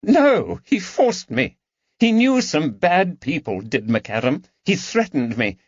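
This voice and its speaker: A man's voice: a little high, very animated, slow pace, husky, no room sound, muffled recording, voice pushed up, exaggerated voice. A man voicing a frightened, resentful young swindler in an audiobook, defensive and pleading. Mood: defensive, scared. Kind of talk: audiobook character dialogue.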